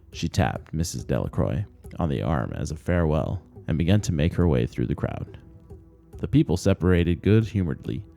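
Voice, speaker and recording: A man reading a short story aloud as narrator, in expressive scripted storytelling. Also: extremely low pitch, gravelly. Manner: expressive, acts out dialogue.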